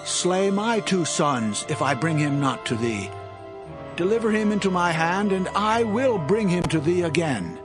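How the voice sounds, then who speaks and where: An actor playing an earnest grown son in a dramatized Bible story, speaking urgently in a declamatory style. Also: male voice, high voice, animated, measured pace, music in the background. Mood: urgent pledge. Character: earnest adult son pledging to his father. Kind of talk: dramatized Bible audio acting.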